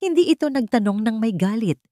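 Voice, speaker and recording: A woman's voice: on the high side, lively intonation, fairly quick, warm tone, no room sound, studio quality. A woman with a Filipino accent reading a scripted romantic drama as a story narrator.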